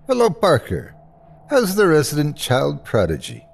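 A man's voice: fairly high pitch, wildly swooping pitch, normal speed, gravelly, breathy edge, voice pushed up, exaggerated voice. A man voicing a feisty, motherly older Irish woman, a salty-tongued nurse in a story, with a blunt, scolding way of talking. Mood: fond teasing.

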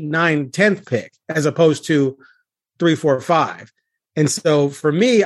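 An American man with a slightly high voice talks sports on a podcast in a close, dry sound. He is blunt and emphatic, laying out his opinions like a reporter who is sure of his case. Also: no echo.

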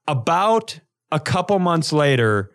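A man co-hosting a comedy podcast, telling animated, casual stories and acting out the dialogue. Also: a little high, measured pace.

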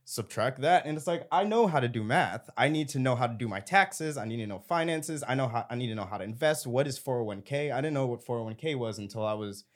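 A man in his twenties from San Diego, co-hosting a podcast discussion in a measured, reflective way. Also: medium pitch, lively intonation. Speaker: podcast co-host. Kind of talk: casual discussion.

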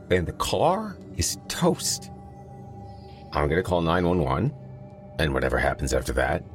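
A man's voice: low voice, full character voice. A man voicing a tense, profane young man who urgently takes charge in a crisis in an audiobook story. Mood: tense, resolute.

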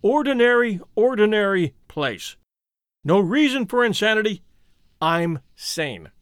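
A man's voice: high-pitched, big pitch swings, a little slow, clear, resonant tone, dry recording, studio quality, voice pushed up. A man playing a frightened, tormented man in an audiobook who fights for his sanity with agitated, emphatic repetition. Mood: anxious reasoning.

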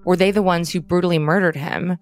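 A woman's voice: lowish voice, steady tone, brisk, soft background music. A woman co-hosting a true crime podcast, narrating the case and dropping casual asides. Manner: conversational, adds casual asides. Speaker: podcast co-host.

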